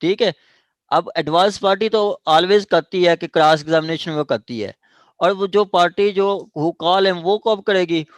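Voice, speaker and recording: Male online lecturer, Pakistani accent, slightly high voice, very unhurried, halting and repetitive, mixes in English terms. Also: dry recording. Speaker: law lecturer. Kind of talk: online lecture explaining evidence law. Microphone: online class call.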